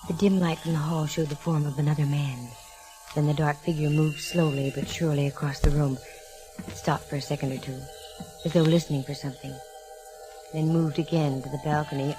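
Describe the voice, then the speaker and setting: A woman's voice: low voice, close to an everyday voice, poor audio. A woman playing a self-assured, wry American private eye who tells her case in hardboiled old-time radio style. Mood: tense narration. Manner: wry, breezy, wisecracking. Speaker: radio detective lead and narrator. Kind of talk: 1940s-50s American radio drama, hardboiled narration.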